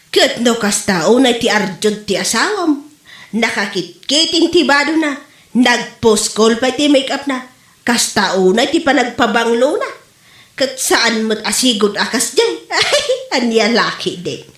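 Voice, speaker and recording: A woman's voice: fairly high pitch, animated, unhurried, a bit bright. A woman with an Ilocano accent playing a nosy, scolding older mother in an audio comedy drama. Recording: echoey room.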